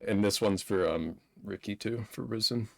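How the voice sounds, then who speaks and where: Low voiced guy with lots of fry, co-hosting a casual film chat. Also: normal speed, fairly bright tone. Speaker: co-host of a live-streamed movie discussion.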